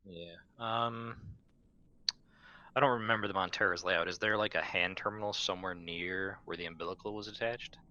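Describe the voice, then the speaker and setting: A man's voice: deep voice, fairly even intonation, moderate pace, pushed and bright, dull audio. A man taking part in a tabletop roleplaying game as a player, talking casually.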